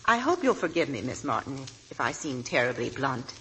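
An actress playing a genteel, blunt, anxiously devoted middle-aged mother, gracious and deliberate, in a 1940s radio drama. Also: very deep voice, sing-song, fairly bright tone, exaggerated voice. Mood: politely probing. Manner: gracious, deliberate, hesitant when uneasy. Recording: noisy vintage 1940s radio broadcast.

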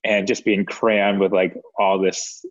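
Male, American-born, lowish voice, hesitant and reflective casual storyteller, slightly muffled lo-fi audio. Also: level tone, unhurried, no room sound. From United States. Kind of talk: casual chat, personal storytelling. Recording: dull, band-limited audio.

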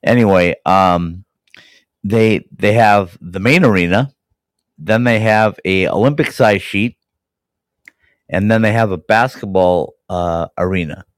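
A man hosting a college hockey podcast, hoarse and raspy from a cold, talking enthusiastically and rambling with fillers and restarts. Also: lowish voice, a little slow, no echo, clean studio sound. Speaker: podcast host. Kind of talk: casual sports chat.

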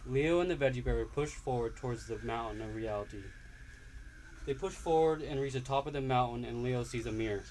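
Brief: male narrator, mid pitch, steady storybook reading, faint hiss. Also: fairly quick, low-quality recording. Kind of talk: read-aloud story narration.

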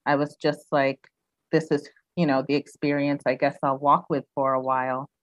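A woman's voice: very deep voice, level tone, moderate pace, no echo. A young woman raised in the United States, speaking reflectively as a guest in a casual interview, with many "um"s and "you know"s.

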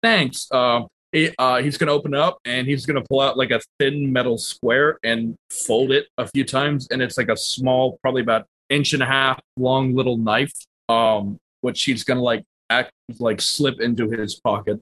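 A man playing a tabletop roleplaying game, casually narrating his character's actions in a halting, self-correcting way. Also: mid-range voice, steady tone, fairly bright tone.

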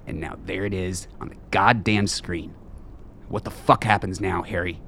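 A man's voice: deep voice, fairly even intonation, moderate pace, creaky, fairly bright tone, a little hiss or hum. A man acting a bitter, weary middle-aged soldier in a radio play, blunt and profane. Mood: agitated, frustrated.

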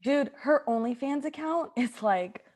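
A woman with a creaky voice improvising comedy in casual, chatty banter. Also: sits high, lively intonation, unhurried, darkish tone.

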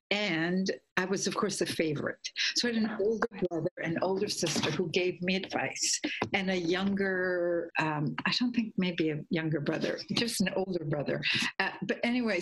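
A middle-aged woman from Guatemala telling her life story in a reflective, meandering way, correcting herself and laughing softly. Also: mid-range voice, slightly rough, poor audio. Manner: dreamy, meandering, with soft laughs and self-corrections. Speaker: guest, imagery teacher.

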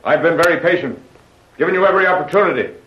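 A man's voice: on the high side, rapid-fire, lightly acted. An actor playing a ruthless male villain, impatient and threatening, in a dull old radio recording. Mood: menacing. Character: ruthless male villain who commands the hunters. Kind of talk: 1930s radio drama acting.

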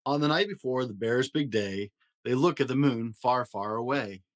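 A man hosting a story podcast, reading a rhyming children's picture book aloud in an expressive, rhythmic way. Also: medium pitch, normal speed. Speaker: podcast host and story reader.

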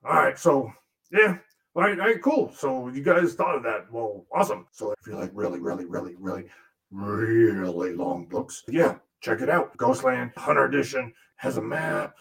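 A foul-mouthed demon puppet grumbles and sneers in a gravelly, fry-laced voice, as a man puts him on. He sits at a middling pitch and rambles with crude sarcasm, griping about the man who works him. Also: lively intonation, moderate pace, a bit bright. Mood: sarcastic. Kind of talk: comic monologue in character.

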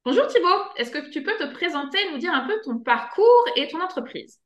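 A woman's voice: high-pitched, big pitch swings, brisk, highs cut off. A woman hosting a business podcast, welcoming her guest and asking brief, direct questions. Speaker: podcast host, marketing advisor.

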